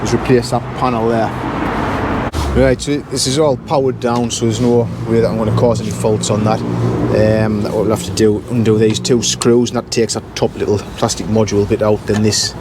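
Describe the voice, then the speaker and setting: Man talking over loud outdoor noise. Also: on the low side, level tone, normal speed. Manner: matter-of-fact, thinking aloud while working. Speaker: intercom repair engineer presenting his work. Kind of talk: casual hands-on repair walkthrough.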